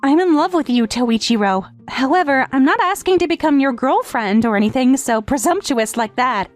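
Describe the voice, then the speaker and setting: A woman's voice: high voice, lively intonation, moderate pace, faint music behind. A woman playing a lovestruck, brazenly submissive teenage step-sister in an anime-style romantic comedy dub. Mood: earnest, pleading.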